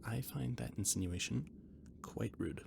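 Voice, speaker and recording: A man's voice: mid-range voice, a little slow, gravelly. A man playing a flustered, rambling catboy who cooks aboard a spaceship, hesitant and stammering, in an intimate roleplay audio drama. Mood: flustered, evasive. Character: flustered, rambling catboy ship's cook and mechanic, eager friend. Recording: noticeable background noise and ambience.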